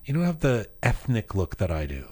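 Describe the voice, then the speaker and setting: A man hosting a casual studio chat, telling travel stories in a rambling, animated way with many restarts. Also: lowish voice, brisk, breathy edge. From Iranian heritage. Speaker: podcast host.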